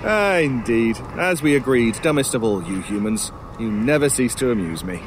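An actor playing an urbane, sardonic crow in an audio drama, wordy and mockingly polite in a pompous way. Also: male voice, medium pitch, animated, normal speed, natural voice. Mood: wry, amused. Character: urbane, sardonic male talking crow, gleefully macabre scavenger. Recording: clear outdoor noise with cawing crows. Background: outdoor ambience, crows, vehicles.

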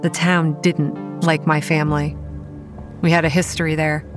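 A woman's voice: low voice, little pitch movement, normal speed, music in the background, natural voice. A woman playing a guarded, hot-tempered young campground manager who narrates and speaks in a horror audio drama, earnest with a sardonic edge. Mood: brooding. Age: young adult. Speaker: narrator and lead character.

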